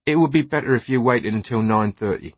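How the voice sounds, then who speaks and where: A man's voice: medium pitch, fairly quick, breathy edge, dry recording, close to an everyday voice. A man voicing a young male altar boy in an audiobook, curt, grumbling and unsure of himself. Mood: hesitant, stubborn.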